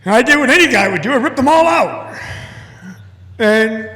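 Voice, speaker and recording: An older man with a big, booming voice giving an earnest, halting personal testimony to a church congregation over a mic. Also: expressive, bright, forceful tone. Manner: earnest, halting, emotional storytelling. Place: church hall. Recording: echoey hall with long reverb.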